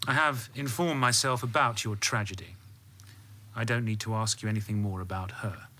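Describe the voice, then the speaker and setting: A security officer in a radio drama interrogation. The voice has a hard, bright edge. He is formal and scrupulously polite, yet insinuating, and he keeps probing. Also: lowish voice, fairly even intonation, normal speed. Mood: coolly persistent. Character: courteous, insinuating male security officer, coolly persistent.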